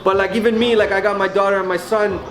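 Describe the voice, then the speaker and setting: A man's voice: high-pitched, little pitch movement, quick pace. A man in his thirties telling stories and arguing his point in a casual barbershop chat, often checking in with "right?". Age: 30s. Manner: talkative, argumentative, storytelling with many "right?" asides. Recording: echoey room.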